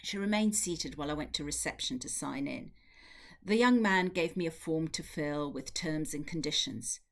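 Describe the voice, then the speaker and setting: A woman with a low-pitched voice reads literary fiction aloud as a narrator. Her delivery is measured and expressive, shaped like an audiobook reading. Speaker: literary translator reading from a novel.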